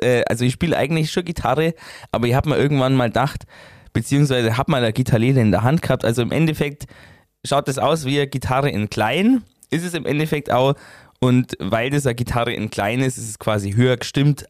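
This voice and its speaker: A young man with a Bavarian accent, the songwriter and guitarist of a band, answering interview questions in a relaxed, rambling way full of fillers. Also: mid-range voice, fast talker, no room sound, studio quality.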